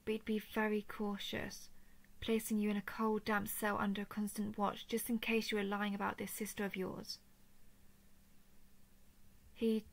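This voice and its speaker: A woman voicing a gentle, protective female dragon in a scripted roleplay audio drama, soft and soothing with formal, measured diction. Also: mid-range voice, gravelly. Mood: grave, soothing. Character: gentle, protective female dragon, earnest empathic royal daughter.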